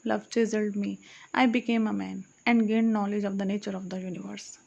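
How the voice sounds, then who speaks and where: A woman's voice: mid-range voice, flat delivery, fairly quick, gravelly, darkish tone, lo-fi sound. A woman reading archaic verse aloud as an audiobook, in a solemn recitation that stops to correct her own slips. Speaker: audiobook reader.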